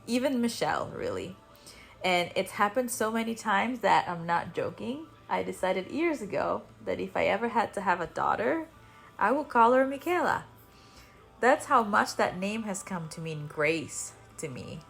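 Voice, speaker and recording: A woman's voice: medium pitch, animated, normal speed, vocal fry, a bit bright. Chatty Italian-accented woman hosting, music behind. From Italy. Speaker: podcast host. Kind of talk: casual personal storytelling.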